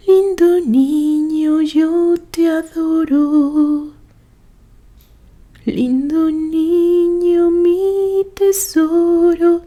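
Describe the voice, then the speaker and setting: A woman's voice: very high voice, mellow, pitched above the performer's own voice, heavily acted. A woman voicing a tender young mother singing an old lullaby within a narrated story.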